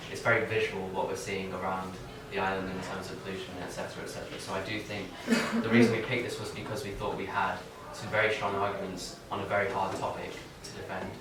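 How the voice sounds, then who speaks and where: A teenage boy, a student debater, explaining his team's choice with hesitations and restarts. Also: lowish voice. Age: teens. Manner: hesitant, searching for words. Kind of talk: casual chat. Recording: echoey room, distant mic, crowd.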